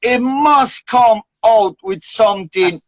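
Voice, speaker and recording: A man's voice: very high-pitched, slow, dry recording. A man from Italy, asking questions in a casual live video chat in English, with Jamaican patois phrases mixed in. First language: Italian. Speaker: guest interviewer.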